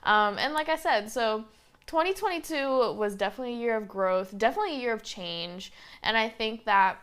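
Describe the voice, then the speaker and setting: A woman's voice: fairly high pitch, animated, fairly quick, fairly bright tone, clear, resonant tone. A young woman in her twenties with a slight Southern twang, hosting her podcast in a chatty, rambling way with lots of fillers. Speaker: podcast host. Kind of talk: casual solo podcast monologue.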